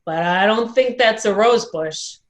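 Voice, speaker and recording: A woman's voice: medium pitch, measured pace, natural voice. A woman voicing a friendly but doubtful, questioning neighborhood man in a storybook. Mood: skeptical. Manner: questioning, skeptical.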